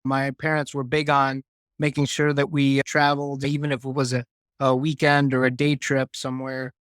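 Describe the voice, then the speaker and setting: A man in his fifties, born in Germany, talking casually as an interview guest with a relaxed, reflective manner. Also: medium pitch, moderate pace, no room sound. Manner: relaxed, reflective, with restarts and fillers.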